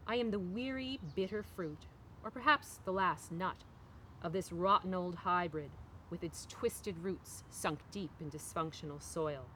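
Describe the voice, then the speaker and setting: A middle-aged woman, an author, reading their own novel aloud in an expressive literary style. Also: lowish voice, a little slow, rough recording. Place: outdoors on a park bench among trees. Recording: faint outdoor background noise.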